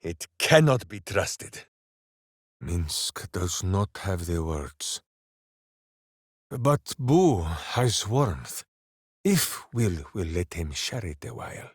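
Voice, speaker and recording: An actor voicing a big, simple-minded, hearty berserker hero in a fantasy video game, declaiming with bombastic earnestness. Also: male voice, deep voice, very animated, drawn-out words, slightly rough, no room sound, studio quality, heavily acted. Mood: solemn, tender. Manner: bombastic, earnest, grandly declaiming, speaks of himself by name. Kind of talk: theatrical fantasy video game character acting.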